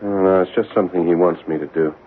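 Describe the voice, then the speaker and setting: An actor playing a troubled, sensitive man in an old radio drama, speaking in an earnest, halting, emotional way. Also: deep voice, fairly even intonation, natural voice. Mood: uneasy. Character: troubled, sensitive grown Boston nephew, defensive, hesitant. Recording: tinny, noisy vintage radio broadcast.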